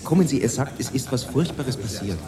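An actor playing a hurried attendant at a congress, urging someone to come quickly, in a radio play. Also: medium pitch, animated, over music, lightly acted. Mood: urgent. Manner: urgent, hurried.

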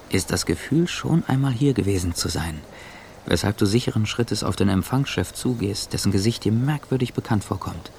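An actor playing an anxious, self-accusing traveller in a radio drama, speaking an intimate, brooding inner monologue. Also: male voice, lowish voice, creaky, a little mellow, a little hiss or hum. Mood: absorbed, tense. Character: anxious, self-accusing male business traveller, inwardly narrating.

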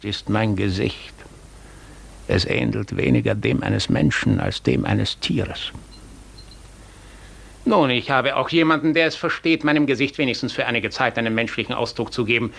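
An actor playing a vain, tyrannical Russian count in a 1950s German radio play, speaking in a self-satisfied, measured, lordly way. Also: male voice, mid-range voice, gravelly, fairly bright tone. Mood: smug.

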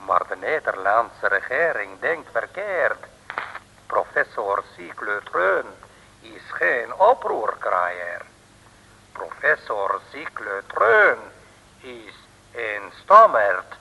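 Slow, sinister secret agent villain. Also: male voice, on the low side, big pitch swings, creaky, bright, forceful tone, slight background noise, exaggerated voice. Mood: pompous, menacing. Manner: slow, measured, pompous pronouncements. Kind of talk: 1950s Dutch radio play acting. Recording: old radio recording with long reverb.

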